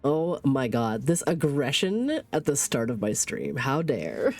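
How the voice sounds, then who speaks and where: A woman's voice: a bass voice, big pitch swings, unhurried, a little mellow, soft background music. A woman in her thirties streaming from home with a stuffy sinus cold, rambling casually, swearing and laughing.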